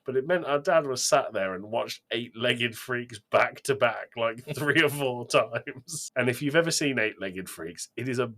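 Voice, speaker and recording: A man's voice: medium pitch, lively intonation, measured pace, few pauses, bright, forceful tone. A man co-hosting a podcast, chatting casually with an enthusiastic, emphatic way of talking. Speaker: podcast co-host. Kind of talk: casual chat.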